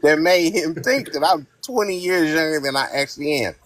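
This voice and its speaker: A man's voice: on the high side, animated, normal speed, a bit bright, no echo, low-quality recording. A man in his fifties from Northern California, calling into a casual podcast chat and talking bluntly, with heated, profane storytelling. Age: 50s. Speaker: live-stream caller.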